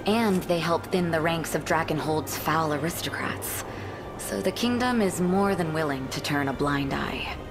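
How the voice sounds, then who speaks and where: A woman playing a worldly, proud noble general with a wry, cool, measured manner in a video game. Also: low voice.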